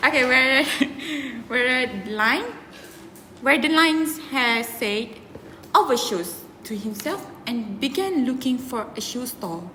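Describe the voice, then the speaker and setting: Slow, halting young woman. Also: sits high, expressive, bright, forceful tone. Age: young adult. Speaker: student presenter. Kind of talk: informal group presentation discussion. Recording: hall reverb with faint noise.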